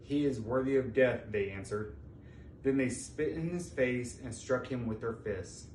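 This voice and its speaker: A man's voice: medium pitch, a little slow, a little mellow. A man giving a solemn scripture reading as a church member at a service, recorded in a church. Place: large indoor church, distant mic. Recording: echoey church from far off, faint noise.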